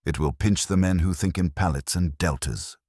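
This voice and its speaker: A man voicing a grave, imperious male master detective in an audiobook, formal and deliberate in his pronouncements. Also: low-pitched, a little mellow, husky, no echo, clean studio sound. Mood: pedantic, deliberate. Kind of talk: audiobook character dialogue.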